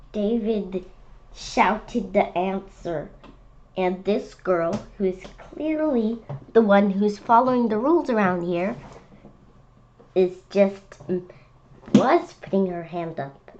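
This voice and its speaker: A young, school-age female reader presenting a picture book read-aloud with chatty, expressive commentary. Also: mid-range voice, slow pace, long pauses, dull audio. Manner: expressive, calls out quoted lines loudly.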